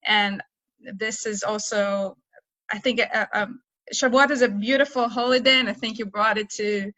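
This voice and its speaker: An Israeli woman with a bright, ringing voice speaks appreciatively, her English a little broken. The sound is dry and close, as on a video call. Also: mid-range voice, fairly even intonation, moderate pace, no room sound, rough recording. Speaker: event participant. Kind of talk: casual sharing on a video call.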